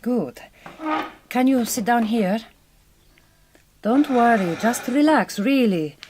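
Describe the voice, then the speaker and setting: An actress playing a direct, kind but quietly wounded Hungarian migrant farm worker in a radio drama, with a Hungarian accent and careful, reassuring speech. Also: female voice, a little high, expressive, slow, background noise. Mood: eager, reassuring.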